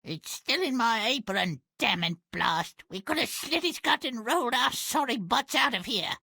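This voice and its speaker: A man's voice: very high voice, animated, normal speed, creaky, pushed and bright, husky, dry recording, studio quality, exaggerated voice. A man playing a crusty, feisty old granny with a tart, blunt, theatrical delivery in a fairy-tale game. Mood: crusty, unbothered.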